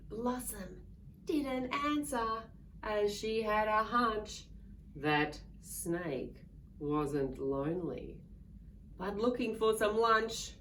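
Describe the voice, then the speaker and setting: A woman reading a picture book aloud to young children in a playful, expressive way, drawing out words and acting out sounds. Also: mid-range voice, extremely slow. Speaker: children's story reader. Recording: distant mic with faint background noise.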